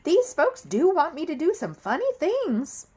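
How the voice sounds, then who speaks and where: A woman's voice: extremely high pitch, huge pitch swings, a little slow, darkish tone, voice pushed up, full character voice. A woman voicing a cheerful, literal-minded storybook housemaid, chirpy, earnest and eager to please. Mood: amused.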